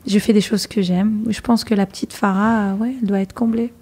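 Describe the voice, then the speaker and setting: A lively woman in her thirties, born and raised in Algeria, talking as an interview guest. She speaks in a mid-pitched voice, laughs easily and piles up fillers and restarts as she thinks aloud. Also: a little fast, husky. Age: 30s. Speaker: guest, journalist and TV presenter. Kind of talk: casual interview talk.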